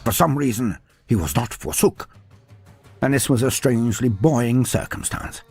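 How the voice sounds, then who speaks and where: A man's voice: medium pitch, animated, normal speed, creaky, a little mellow, slightly rough. A man narrating a humorous story in a dry, wry manner, breaking off into playful sidebars. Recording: faint music behind narration.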